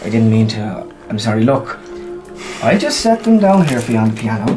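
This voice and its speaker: Animated, pushy Irish band musician. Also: male voice, lowish voice, brisk, over music. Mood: flustered, pushy. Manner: stammering, eager, wheedling. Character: pushy, nervous male pub-band musician. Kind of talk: radio drama acting.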